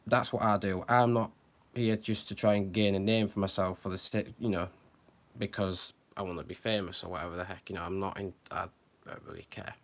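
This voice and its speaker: Man, lowish voice, hesitant, lots of ums and restarts, earnest concerned commentary. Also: steady tone, a little fast. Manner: hesitant, many fillers and restarts. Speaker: channel host. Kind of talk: unscripted commentary to camera.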